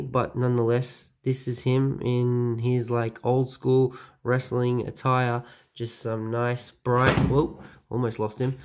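Young male toy vlogger with a head cold: nasal, gravelly, chatty, excited, lots of ums, mid-pitched voice. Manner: excited, chatty, many fillers. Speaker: toy reviewer. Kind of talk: casual review vlog. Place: small room at home.

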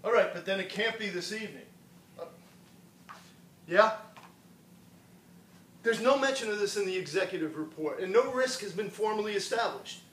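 A man's voice: sits high, animated, moderate pace, pauses often, fairly bright tone, slight background noise. An actor playing a dismissive, sarcastic middle-aged lawyer and father on a theater stage. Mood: brusque. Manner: curt, sarcastic. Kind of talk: stage play acting. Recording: roomy stage sound from a distance.

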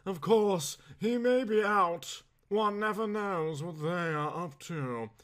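A man's voice: high-pitched, slow pace, fairly bright tone, voice pushed up. A man voicing a weary, superior groom of the chambers in a storybook, noble and languid. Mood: trite, knowing.

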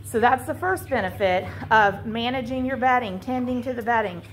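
A woman's voice: fairly high pitch, normal speed, a little hiss or hum, rough recording. A woman poultry farmer explaining floor care as she films inside her chicken barn on a handheld phone, walking listeners through her reasoning. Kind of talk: instructional farm walkthrough. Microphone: handheld phone camera.

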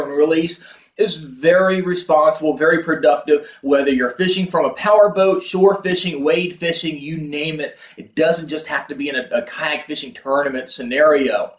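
A middle-aged man hosting a fishing video, explaining things to camera in a casual, earnest way, with frequent restarts and fillers. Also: a little high. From Pennsylvania, USA. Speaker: kayak fishing video host. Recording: roomy, slightly distant sound.

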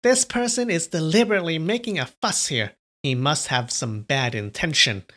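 A man's voice: fairly high pitch, moderate pace, bright, forceful tone. A man voicing a stern, suspicious female martial nun who talks in curt, commanding tones.

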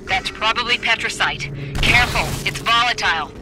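Actress as alert army tech woman in a game, earnest, loud noise all around her. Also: fairly high pitch, normal speed, lightly acted. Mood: focused. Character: female tech specialist soldier, earnest and alert. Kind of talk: video game cutscene acting.

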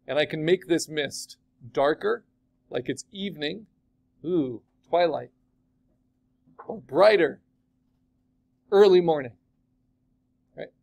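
Chatty male art teacher, higher voice. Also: vocal fry, darkish tone. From California. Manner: chatty, asks the class questions. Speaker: art instructor. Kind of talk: classroom software demo.

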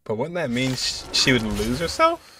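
A man's voice: medium pitch, wildly swooping pitch, normal speed, background noise. A man in his twenties giving casual live commentary as he reacts to game cutscenes. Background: game soundtrack music.

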